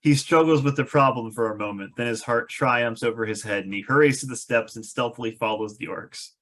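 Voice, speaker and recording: A man narrates a fantasy screenplay. His voice sits at an average pitch. He reads descriptive stage directions as scripted narration. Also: brisk, a bit bright.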